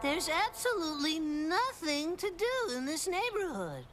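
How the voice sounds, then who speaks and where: A woman's voice: very high voice, very animated, a little slow, music in the background, exaggerated voice. A woman voicing a cocky, naive little cartoon boy who sounds earnest and self-assured. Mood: eager. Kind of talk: cartoon voice acting.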